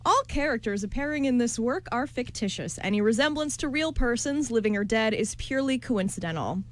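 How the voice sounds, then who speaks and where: A woman's voice: a little high, expressive, brisk. A woman chatting casually as a radio show narrator, with a jokey, slangy way of talking. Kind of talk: casual playful chat.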